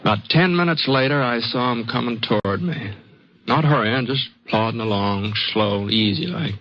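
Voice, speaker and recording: An actor playing a mild, reluctant American gunfighter with a hesitant, folksy way of talking, in an old-time western radio drama. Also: male voice, mid-range voice, moderate pace, close to an everyday voice. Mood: reflective narration. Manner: hesitant, folksy, understated drawl. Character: mild, reluctant middle-aged western gunfighter telling his tale. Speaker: actor and narrator. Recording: dull vintage radio recording, music behind.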